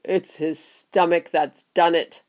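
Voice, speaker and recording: A woman's voice: lowish voice, flat delivery, slow, darkish tone. A woman voicing an exasperated, reluctant, blustering father in a children's storybook, exclaiming and grumbling.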